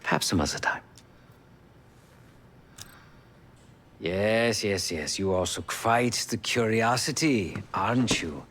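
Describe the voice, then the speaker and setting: An actor playing a fussy, theatrical male tech specialist in a spy video game, with formal, florid, deliberate phrasing. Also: lowish voice, expressive, fairly bright tone, slight background noise. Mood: amused, brisk. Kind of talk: video game character acting.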